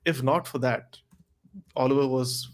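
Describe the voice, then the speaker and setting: A man on a podcast panel giving casual motorsport analysis in a measured, explanatory way. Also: medium pitch, fairly even intonation.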